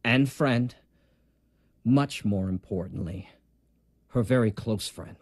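A man playing a grave, principled academic doctor in an audio drama, speaking in a measured, formal way. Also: medium pitch, no echo, studio quality. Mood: grave, explanatory.

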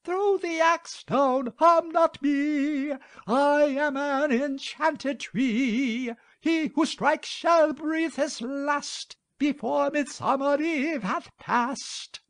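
A man voicing a gentle, earnest young maiden from a storybook. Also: vocal fry, no echo, clean studio sound, exaggerated voice. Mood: eerie sung warning. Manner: high, pleading or slow chanting. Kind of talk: storybook character acting.

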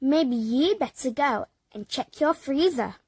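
A woman reading a children's picture book aloud, slow and expressive, leaping up high for the dramatic moments. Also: no echo. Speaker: storybook reader. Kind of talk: children's read-aloud narration.

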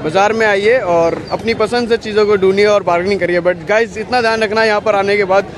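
A man's voice: high-pitched, fairly even intonation, brisk. A young man, chatting casually to his camera while walking through a crowded outdoor market and sprinkling his talk with "like" and "guys". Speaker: vlogger. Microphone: handheld camera. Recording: noisy crowd around.

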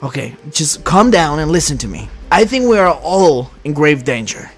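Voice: medium pitch, sing-song, moderate pace, breathy edge, soft background music. A performer voicing an anxious, paranoid young male superhero leader in a Minecraft roleplay, worried and hesitant.

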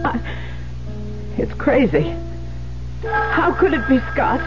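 Radio play: elegant, anxious wife, theatrical, stammering, very animated pitch, slow delivery. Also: female voice, heavily acted. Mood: frightened. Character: sophisticated, elegant wife, frightened and agitated. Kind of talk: 1970s radio drama acting. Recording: muffled old radio broadcast, music.

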